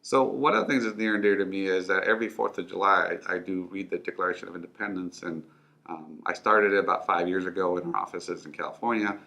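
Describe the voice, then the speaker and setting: A man's voice: deep voice, fairly even intonation, fast, vocal fry, sharp tone. A middle-aged man who grew up in Mexico and speaks English as a second language, telling his personal story to the camera in an earnest way with frequent ums and restarts. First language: Spanish. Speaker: financial firm owner.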